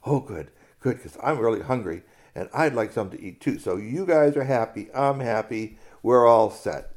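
A man voicing a cheerful, gentle outdoorsman who talks in a friendly, coaxing way in a storybook tale. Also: mid-range voice, animated, normal speed, natural voice.

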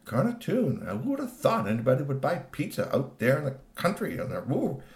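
A man's voice: mid-range voice, wildly swooping pitch, brisk, reverberant, voice pushed up. A man voicing an easygoing, generous food-truck owner who muses casually in a children's story. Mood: musing. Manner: musing, casual.

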